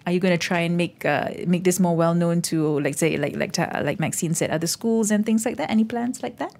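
A woman's voice: fairly low pitch, a little fast, gravelly, a little mellow. A woman hosting an interview, summing up and asking questions, with frequent encouraging backchannels. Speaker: host.

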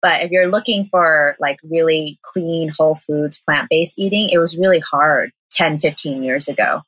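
A woman's voice: low voice, moderate pace, fairly bright tone, dry recording, poor audio. A young woman from Southern California with an American accent, chatting casually as an interview guest, laughing often and using lots of "like". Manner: chatty, laughing, many "like" fillers. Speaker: guest, vegan cafe owner.

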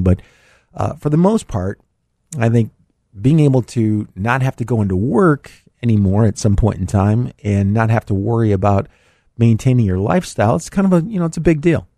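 Raspy middle-aged male radio host. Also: medium pitch, lively intonation, moderate pace, dark tone, no room sound. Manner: rambling, with many fillers and restarts. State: raspy, a bit congested after illness. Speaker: radio show host, financial advisor. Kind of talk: casual live call-in radio talk. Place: radio studio.

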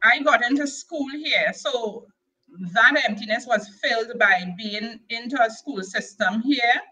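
A middle-aged woman from Tobago with a Tobagonian accent, sharing her personal testimony as an interview guest in a soft, hesitant way full of fillers and restarts. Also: a little high, unhurried, breathy edge, poor audio.

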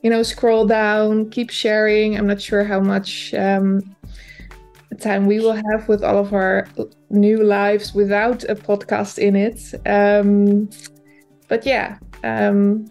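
Woman cohosting a podcast, slow thoughtful talk, bit of vocal fry, faint music under it. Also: mid-range voice, flat delivery, darkish tone. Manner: thoughtful, hesitant with fillers. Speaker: podcast co-host. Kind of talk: casual podcast chat.

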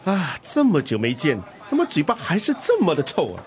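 Middle aged singaporean musician dad, bantering but caring, voice swoops around, radio play. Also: male voice, on the high side, huge pitch swings, brisk. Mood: bantering, irritated. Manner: flippant, bantering. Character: breezy middle-aged musician father, bickering yet caring. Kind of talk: Mandarin radio drama acting.

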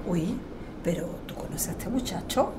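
A woman's voice: medium pitch, lively intonation, moderate pace, close to an everyday voice. An actress playing a bossy, exasperated middle-aged wife and mother in an audio drama, colloquial and scolding. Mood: suspicious. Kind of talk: audio fiction acting. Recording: clear ambient noise behind voice.

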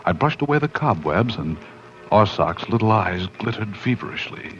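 Intense storyteller, swooping pitch. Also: male voice, sing-song, a bit bright, faint music behind. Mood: suspenseful. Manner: dramatic, intense, with suspenseful pauses. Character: excitable, uneasy man narrating his own horror tale. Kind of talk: 1940s radio drama acting and narration. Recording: dull, slightly noisy 1940s radio recording.

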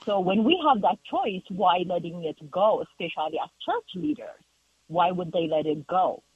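Ethiopian guy calling in, tinny phone line, kinda husky, lots of uhs. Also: high-pitched, very animated, moderate pace, dry recording. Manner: hesitant, many fillers. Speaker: caller. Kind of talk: casual call-in chat. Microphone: telephone.

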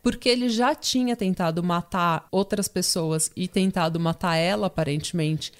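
Mulher jovem, sotaque brasileiro, tom de conversa, contadora de histórias. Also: female voice, lowish voice, moderate pace. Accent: Brazilian Portuguese. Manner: chatty storyteller, laughs often, many fillers. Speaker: podcast host telling a true crime story. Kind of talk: casual chat.

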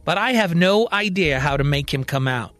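A man's voice: a little high, expressive, normal speed, a bit bright. A man voicing a practical, uncertain woman on a science-fiction team, speaking matter-of-factly.

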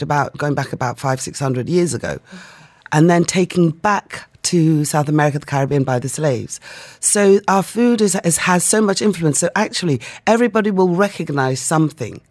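A woman's voice: low-pitched, no room sound. A woman from Ghana and the UK, a chef answering interview questions conversationally, thoughtful and a little hesitant in her phrasing. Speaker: chef and business owner, interview guest. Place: radio studio.

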